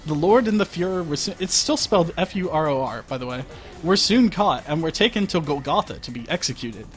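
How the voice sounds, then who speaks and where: A man's voice: fairly high pitch, expressive, brisk. A man reading comic fiction aloud on a livestream, wry in delivery and given to breaking off for asides. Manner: wry, breaks off to comment on the text. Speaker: story reader. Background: faint music.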